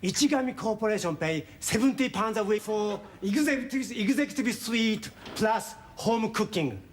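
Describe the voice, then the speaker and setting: A very high, formal lodger. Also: male voice, lively intonation, moderate pace. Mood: dismayed. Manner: broken, formal English in clipped phrases. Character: polite formal foreign businessman lodger, adult man. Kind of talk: sitcom acting.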